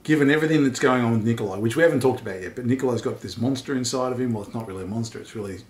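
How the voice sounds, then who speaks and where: A man's voice: mid-range voice, very fast, a little hiss or hum. A middle-aged man in a casual book discussion, laying out theories emphatically as a guest reviewer. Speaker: vlogger's dad, guest co-reviewer. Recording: echoey untreated room.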